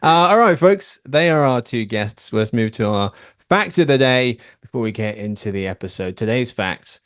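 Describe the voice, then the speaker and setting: A man's voice: medium pitch, dry recording, clean studio sound. A man hosting a podcast in casual chat, teasing his guests with playful banter. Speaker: podcast host.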